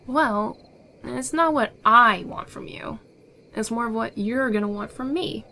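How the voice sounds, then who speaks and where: A woman's voice: on the high side, measured pace, pushed and bright. A woman playing a confident, secretive smuggler in a fantasy ASMR roleplay, with an assured, persuasive, theatrical delivery. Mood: sly, assured. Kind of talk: ASMR audio roleplay acting.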